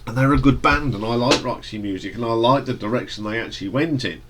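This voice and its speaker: A man with a stuffy nose from hay fever, casually reviewing an album in a relaxed, rambling way with fillers and restarts. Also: medium pitch, fast talker, noisy background. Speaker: music reviewer.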